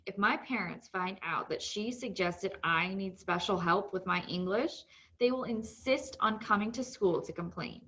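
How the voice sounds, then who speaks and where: Female read-aloud narrator for children's fiction, mid-range pitch, slightly muffled lo-fi recording. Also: normal speed, fairly bright tone. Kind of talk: scripted narration of a children's novel.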